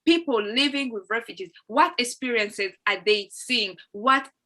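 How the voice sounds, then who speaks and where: A woman's voice: fairly high pitch, measured pace, sharp tone. A young woman, hosting a live video-call session in a chatty, welcoming way with lots of fillers. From Uganda. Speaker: host. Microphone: laptop or phone mic on a video call.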